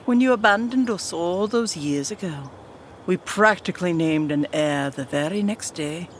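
A woman's voice: lowish voice, expressive, normal speed, a little hiss or hum, natural voice. A woman playing an imperious monarch and parent in a comedic fantasy audio drama, formal and stern. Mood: stern, dismissive.

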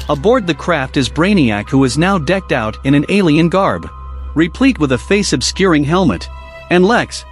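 A man reading scripted narration for a dramatic audio production. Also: a little high.

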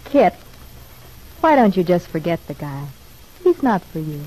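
A woman's voice: fairly low pitch, big pitch swings, unhurried, warm tone, slightly rough, full character voice, poor audio. A wisecracking woman convict in an old radio serial. She talks in a chatty, slangy way and loves to needle, with a mocking edge to her teasing. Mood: sardonic, probing. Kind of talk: old-time radio drama acting.

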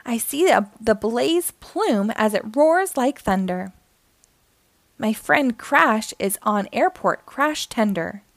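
A woman reads a children's story in a high, expressive voice. Her storytelling is dramatic and animated, with an unhurried pace suited to young listeners. The recording is dry and close. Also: dry recording. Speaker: storybook reader, host. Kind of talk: expressive children's read-aloud narration.

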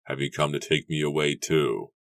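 A desperate older man from a storybook, performed by a male narrator, with an extremely deep voice. He pleads with trembling emotion, heard close in a dry recording. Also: normal speed, creaky, no echo. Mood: weak. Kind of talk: dramatic audiobook character voice.

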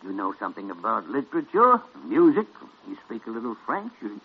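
An actor playing a gruff, sardonic older senior doctor in 1940s radio drama style. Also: male voice, fairly low pitch, sing-song, fairly quick, heavily acted. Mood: coaxing. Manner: blustering, sardonic. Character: gruff, needling old senior doctor, bossy but shrewd. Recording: muffled old radio recording.

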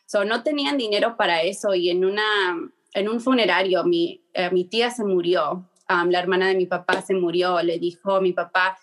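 A young woman, answering interview questions in a halting way many fillers and restarts, slipping words in. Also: fairly low pitch. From Peru. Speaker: guest, journalist.

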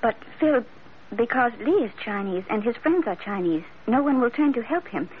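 An actress playing an earnest, composed young Chinese bride in an old radio drama, speaking formally and carefully. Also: female voice, fairly high pitch, lively intonation, normal speed, slight background noise, lightly acted. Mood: pleading, earnest. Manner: formal, careful phrasing without contractions. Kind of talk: 1940s-50s radio drama acting. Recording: tinny, narrow-band old radio recording.

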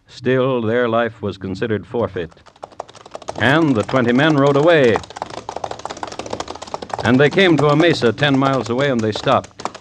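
A man's voice: lowish voice, a little hiss or hum. A man giving grave, suspenseful scripted narration for an old-time radio drama.

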